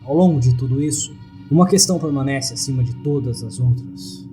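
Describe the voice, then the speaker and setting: A man with a Brazilian accent narrating a first-person horror story in a grave, measured way. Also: medium pitch, dark tone. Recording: faint music bed behind narration.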